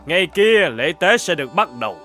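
A man voicing a greedy, scheming middle-aged feudal lord in a cartoon, lively and given to shouting. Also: high voice, brisk, heavily acted. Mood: commanding. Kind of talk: cartoon dubbing. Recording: music playing under the voice.

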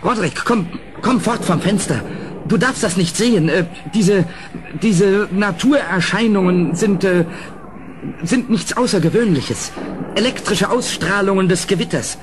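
A man playing an anxious, well-mannered houseguest in a gothic horror radio play, with a grave, literary delivery and a husky voice. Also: high voice, a little slow, noisy background. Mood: nervous, pleading.

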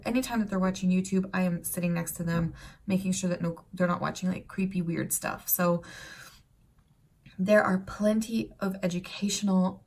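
Woman in her thirties, casual home vlog, rambling, chatty, lots of fillers, mid-pitched voice. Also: a little mellow, breathy edge. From Serbia, raised in Canada and the United States. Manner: chatty and rambling, many fillers and asides, gestures a lot. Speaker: vlogger. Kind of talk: casual chat to camera. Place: bright home room with shelves, indoors.

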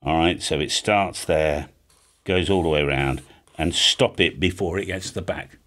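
A man in his fifties with a low-pitched voice talks through a practical explanation. He is chatty and informal, like a hobby channel host showing how something is done, and he often pauses to check that the listener follows. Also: a bit bright. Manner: chatty, casual, often checks in with "all right?". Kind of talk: informal explainer, talking through a hand-drawn diagram. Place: home music studio room, indoors.

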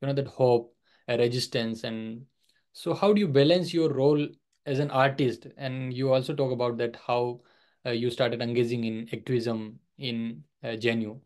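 A man's voice: mid-range voice, fairly even intonation, normal speed, a little mellow. A young man hosting an interview over a video call, asking long questions and repeating words like "basically" and "also". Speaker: podcast host.

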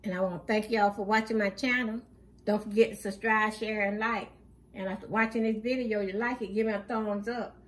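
A woman's voice: mid-range voice, steady tone, poor audio. A woman, hosting her channel and casually listing her groceries one by one with frequent fillers, recorded at home off camera. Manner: plain, unhurried listing with many fillers. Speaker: channel host. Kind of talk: casual grocery haul narration.

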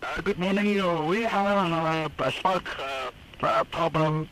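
A male airline pilot speaks in a high voice over aircraft radio. His phrasing is halting and hesitant, and clear noise runs through the archival transmission. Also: fairly even intonation. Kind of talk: air traffic radio call. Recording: noisy archival cockpit-to-tower radio.

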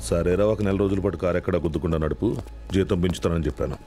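Man playing a low-voiced, domineering boss. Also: quick pace, warm tone, faint music behind, lightly acted. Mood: smug, cold. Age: middle-aged. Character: shrewd, domineering middle-aged male boss. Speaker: actor in a film. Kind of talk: film dialogue.

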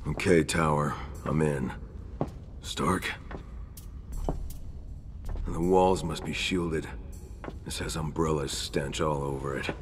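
Low, curt lone-wolf soldier. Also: male voice, fairly even intonation, slow pace, long pauses, big-room sound, soft background music. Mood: tense, suspicious. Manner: terse, curt. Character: gruff male lone-wolf soldier, stern and impatient. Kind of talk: video game cutscene acting.

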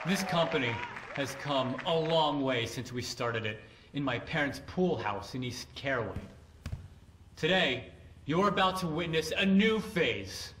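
An actor playing a smug, grandiose young tech founder declaiming a keynote speech in a video game. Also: male voice, a little high, animated, a little slow, a little hiss or hum. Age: young adult. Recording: echoey auditorium with loud crowd.

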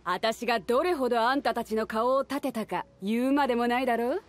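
A woman acts out a bold pirate fleet captain for an anime game. Her voice sits high. She talks casually but with command, confident and teasing. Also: fairly quick, natural voice. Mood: mock stern. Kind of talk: anime-style game voice acting.

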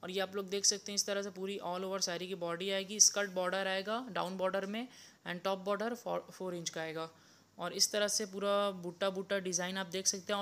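Bright, smooth-voiced young man selling sarees. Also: high-pitched. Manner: repetitive, steady walkthrough of each item. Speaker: saree seller. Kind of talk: product showcase sales pitch. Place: indoor saree shop.

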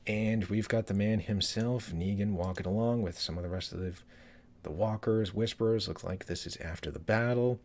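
Deep-voiced man rambling over a trailer. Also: normal speed, a little mellow. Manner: rambling, many fillers and restarts. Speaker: YouTube trailer reviewer. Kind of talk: casual commentary. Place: small room indoors.

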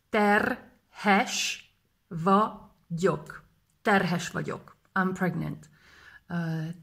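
A woman in her thirties teaching a language lesson to camera, repeating each phrase slowly and clearly, filmed close up indoors. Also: mid-range voice, a bit bright. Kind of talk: slow vocabulary lesson. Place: indoors in front of a plain gray wall. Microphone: close camera framing, face fills frame.

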